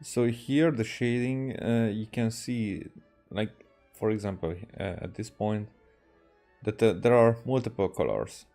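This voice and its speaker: A man from Hungary, a native Hungarian speaker, streaming a game with casual, halting commentary. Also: fairly low pitch, dark tone. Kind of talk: casual game commentary, reading dialogue aloud. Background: game music.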